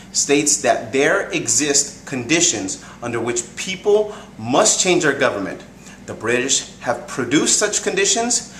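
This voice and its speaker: A man giving an earnest, measured, prepared introduction as a presenter. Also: on the high side, fairly bright tone. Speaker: presenter introducing a group reading. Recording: echoey room, faint background noise.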